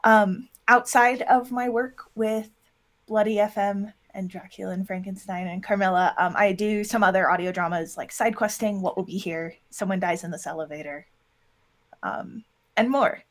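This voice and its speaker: A woman's voice: mid-range voice, fairly even intonation, normal speed. A woman chatting casually as an interview guest, talking in a self-deprecating way. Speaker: interview guest, audio drama producer and voice actor.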